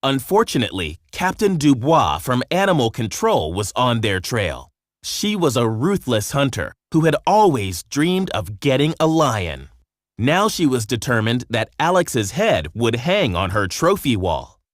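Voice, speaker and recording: A man narrating a children's story from a script in an expressive storytelling manner. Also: mid-range voice, a little slow, no echo, studio quality.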